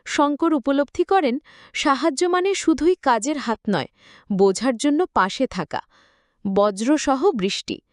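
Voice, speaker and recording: A woman's voice: sits high, expressive, unhurried, no room sound, clean studio sound. A woman reading a scripted, emotional story as its narrator.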